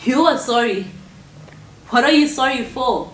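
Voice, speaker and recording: A woman's voice: high-pitched, measured pace, faint music behind. An actress playing a proud, angry, impoverished woman who refuses pity, in an intense theatrical monologue. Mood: bitter, proud. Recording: echoey room with faint noise.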